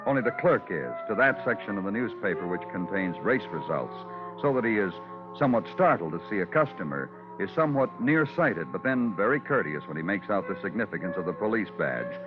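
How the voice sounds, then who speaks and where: A man's voice: on the low side, sing-song, brisk, over music. A man playing a moody, hard-boiled police detective and narrator in an old radio crime drama. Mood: brooding, lyrical. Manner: terse questioning, lyrical narration. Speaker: lead and narrator. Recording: dull vintage radio recording.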